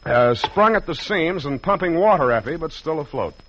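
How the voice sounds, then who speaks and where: An actor playing a hard-boiled, wry private detective with a deadpan delivery in a 1940s radio drama. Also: male voice, a little high, a little hiss or hum. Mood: businesslike, wry. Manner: deadpan, dry, halting dictation. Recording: muffled old radio broadcast.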